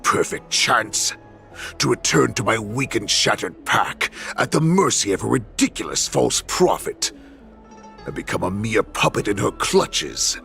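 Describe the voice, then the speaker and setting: A man voicing a menacing, commanding alpha wolf warlord in a video game, gravelly, imperious and mocking. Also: fairly low pitch, sing-song, a little slow, bright, forceful tone, soft background music, heavily acted. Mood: scornful. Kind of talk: dramatic video game voice acting.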